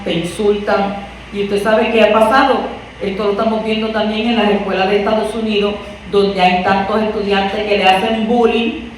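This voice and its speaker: A woman from Puerto Rico with a Puerto Rican accent, preaching a conversational sermon and asking her listeners rhetorical questions. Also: on the high side. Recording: echoey room from far off, faint noise.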